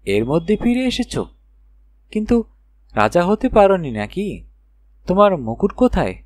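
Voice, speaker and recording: A man voicing a kindly, teasing cat queen from a fairy tale, reassuring and gently questioning. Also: sits high, very animated, unhurried, no echo, pitched above the performer's own voice. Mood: surprised, teasing.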